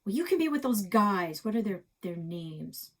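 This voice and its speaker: A woman's voice: mid-range voice, sing-song, moderate pace. A woman voicing an exasperated, argumentative child in a children's storybook, insistent and combative. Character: exasperated, argumentative child narrator arguing back.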